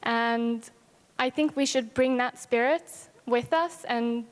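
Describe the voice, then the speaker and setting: An earnest young woman speaks in a slightly high voice. Her words ring out with a long hall echo. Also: takes it slowly. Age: young adult. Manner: warm-hearted, earnest. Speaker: conference participant. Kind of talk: heartfelt reflection.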